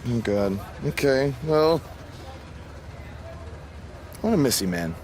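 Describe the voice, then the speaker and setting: A man acting as an earnest young rookie pro wrestler in a video game cutscene, talking casually and haltingly with his buddy. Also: mid-range voice, big pitch swings, a little mellow, slight background noise. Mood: amused, friendly. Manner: casual, halting, bro-ish talk.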